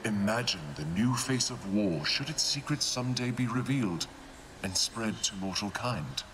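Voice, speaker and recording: A suave god of war acted by a man, courtly and quietly bloodthirsty. His low voice delivers formal, measured menace for a video game, with noticeable noise behind it. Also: lively intonation, natural voice. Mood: pleased menace.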